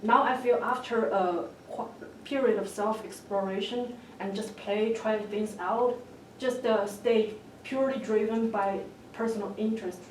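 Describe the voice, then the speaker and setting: A woman on an academic panel reflects aloud, restarting her phrases as she searches for words. Her voice sits in a middle pitch and sounds distant. The room echoes, with a faint hum underneath. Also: fairly even intonation, a little slow.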